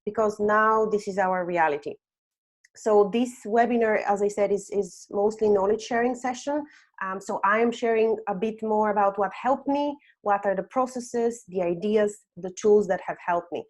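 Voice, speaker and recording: Woman from Bulgaria, webinar host, 30s, mid-pitched, fillers, computer mic. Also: normal speed. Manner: frequent "um" and "okay?" tags. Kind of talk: presenting a webinar with slides.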